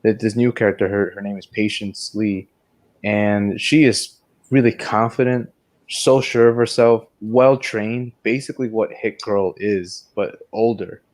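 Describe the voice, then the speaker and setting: A man's voice: lowish voice, steady tone, unhurried, a little mellow. A man co-hosting a podcast, talking through a film review in a casual, rambling, digressive way. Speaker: podcast co-host.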